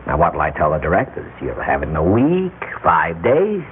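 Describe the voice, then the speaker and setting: An actor in a classic radio drama playing a smug, falsely genial, patronizing crime syndicate boss. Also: male voice, deep voice, huge pitch swings, normal speed. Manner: patronizing, mock-friendly. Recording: tinny, noisy old radio broadcast.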